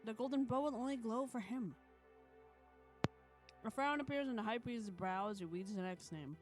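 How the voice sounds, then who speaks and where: A woman's voice: a little high, normal speed, music in the background. A woman reading a visual novel's first-person narration aloud on a stream, with casual asides.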